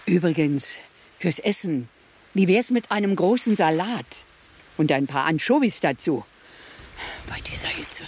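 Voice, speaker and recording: A woman's voice: on the low side, animated, darkish tone, slightly rough. A scolding old motherly woman. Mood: teasing. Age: older. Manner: nagging, brisk and teasing. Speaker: elderly neighbor and housekeeper. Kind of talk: radio drama acting.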